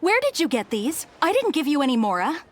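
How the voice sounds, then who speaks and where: A stern, anxious mother from a video game, caring underneath. Her voice is high and swings widely in pitch, over clear background noise. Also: big pitch swings, a little fast. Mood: scolding. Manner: reproachful, exacting. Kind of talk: video game character dialogue.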